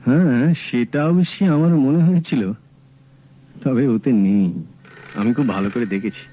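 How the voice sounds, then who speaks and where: An actor playing a calm, shrewd male detective in a radio drama, talking in a measured, dry, matter-of-fact way. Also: mid-range voice, dull audio, lightly acted. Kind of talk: 1970s radio drama acting.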